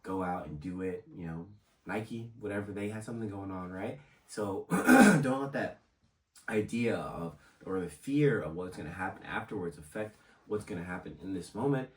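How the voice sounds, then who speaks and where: A young man with a slightly raspy voice, hosting a casual motivational vlog in a conversational, encouraging way. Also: low voice, lively intonation, normal speed. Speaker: host of a motivational video series. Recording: echoey room, distant microphone.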